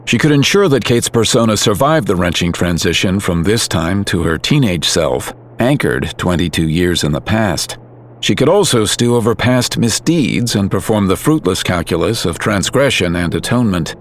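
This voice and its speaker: A man narrating a story in a calm, measured, scripted way. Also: low voice, slight background noise. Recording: music under narration.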